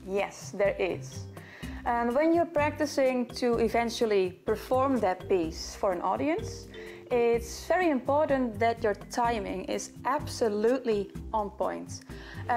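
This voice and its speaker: A woman's voice: fairly high pitch, expressive, unhurried, a little mellow, soft background music. A young Dutch woman with a Dutch accent, chatting casually as she answers questions to camera. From Netherlands. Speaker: violinist and video host. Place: small living room in front of bookcases.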